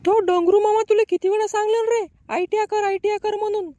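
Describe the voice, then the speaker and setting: Man putting on a shrill nagging village mother. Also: fairly even intonation, fairly quick, no room sound, clean studio sound. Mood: exasperated scolding. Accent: rural Maharashtrian. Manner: nagging, sharp-tongued.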